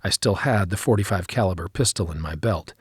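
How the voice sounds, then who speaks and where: A man reading a memoir as scripted audiobook narration, measured and clearly enunciated, recorded close in a studio. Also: deep voice, no room sound.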